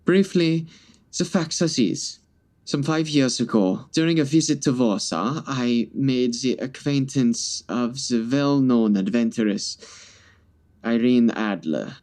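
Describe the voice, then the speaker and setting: A man's voice: mid-range voice, slow, darkish tone, close to an everyday voice. A man playing a grandiose, formal Bohemian king in a comedy audio drama. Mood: stately. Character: stately, formal Bohemian king in disguise as count.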